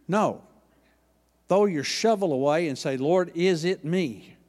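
A man with an Oklahoma accent preaching a sermon in a church, with a folksy manner, dropping low and quiet and then rising loud. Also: animated, takes it slowly, long reverb tail. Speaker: pastor.

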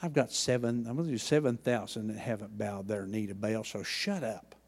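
Folksy Oklahoma preacher, soft then loud. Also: male voice, on the low side, steady tone, moderate pace, husky, big-room sound. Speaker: pastor. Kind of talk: sermon. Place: church.

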